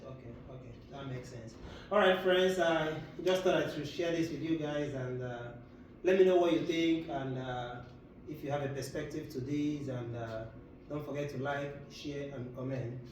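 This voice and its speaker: A man in a relaxed interview, with frequent "okay"s and fillers. He sounds far from the mic in a very echoey room, and steady background noise sits under his voice. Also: medium pitch, normal speed. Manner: repeats "okay" and "yeah", many fillers. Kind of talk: casual interview.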